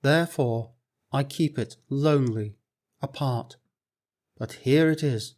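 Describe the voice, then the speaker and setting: A man voicing a proud, loyal court lady in a storybook reading, speaking in a stately, measured way. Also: mid-range voice, a little mellow. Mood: reverent.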